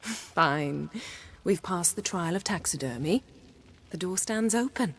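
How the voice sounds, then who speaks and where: A woman's voice: lowish voice, expressive, takes it slowly, breathy edge, exaggerated voice. A woman playing a sardonic, commanding sorceress in a fantasy game, speaking formally and with cool sarcasm. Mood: wry. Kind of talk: video game character acting.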